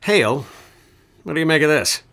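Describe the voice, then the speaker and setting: An actor playing a world-weary, sardonic Boston police detective who asks probing questions in a radio drama. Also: male voice, on the high side, animated, normal speed, over music. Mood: musing. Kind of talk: 1920s-style radio drama acting.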